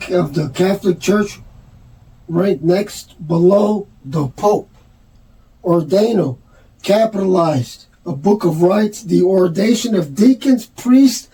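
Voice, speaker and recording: A man's voice: high voice, dark tone, husky. A man in a rambling, earnest monologue, presenting his own numbers theory and reading definitions aloud. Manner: meandering, reads dictionary definitions aloud, casual and earnest.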